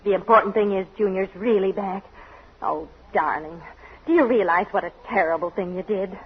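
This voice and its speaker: An actress playing a loving, worried and exasperated wife and mother in a 1940s radio comedy, expressive and fretful. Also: medium pitch, normal speed, breathy edge. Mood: shaken, reproachful. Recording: muffled old radio recording with hiss.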